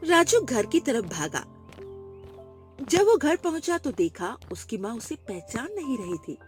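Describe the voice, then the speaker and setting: A woman's voice: a little high, very animated, a little slow, faint music behind. A woman giving scripted narration for a moral children's story.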